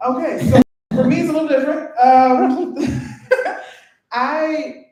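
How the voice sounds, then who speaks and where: A woman who is a poet and teacher, speaking on a live panel, excitable and quick to laugh as she tells stories. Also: high voice. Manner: excitable, laughing, gesturing storyteller. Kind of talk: panel talk. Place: event venue, live panel. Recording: very echoey, distant from the mic.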